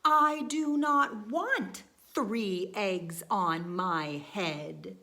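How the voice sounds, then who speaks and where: Woman playing an anxious, exasperated storybook elephant, very slow. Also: mid-range voice, pushed and bright, pitched below the performer's own voice, full character voice. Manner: incredulous, emphatic repeated questions. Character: anxious, exasperated elephant, dismayed by birds on head.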